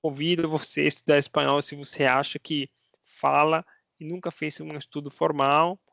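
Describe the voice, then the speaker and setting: A man's voice: on the high side, flat delivery, normal speed, creaky, a bit bright, no room sound. A man with a Brazilian Portuguese accent, a Spanish teacher talking casually to his viewers, asking himself rhetorical questions and tagging his sentences with little checks. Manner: conversational, asks himself rhetorical questions, tags lines with "né?". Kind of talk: casual explanatory video talk to viewers.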